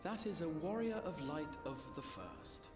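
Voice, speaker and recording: An actor playing a composed, analytical scholar-adventurer in a fantasy game, with formal, articulate speech. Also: male voice, fairly high pitch, animated, measured pace. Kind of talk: scripted fantasy game voice acting. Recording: echoey hall reverb, game music behind.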